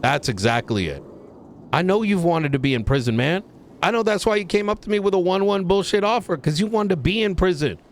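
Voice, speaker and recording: A man's voice: sits high, lively intonation, brisk. A man playing a blunt, foul-mouthed police chief in an improvised roleplay argument, talking casually and argumentatively. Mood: firm, insistent.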